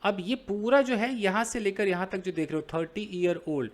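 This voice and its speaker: A young man with an Indian accent teaches beginners English from a newspaper. His voice swings freely up and down in pitch, and he draws out English phrases slowly before explaining them. He keeps checking in with his viewers through questions. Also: expressive.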